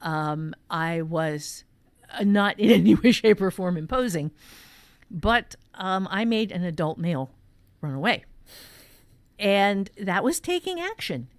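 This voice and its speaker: A woman's voice: medium pitch, very animated, measured pace. A woman hosting a podcast workshop, talking informally and candidly, telling personal stories emphatically and swearing freely. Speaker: podcast host and workshop teacher. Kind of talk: casual workshop chat and personal storytelling.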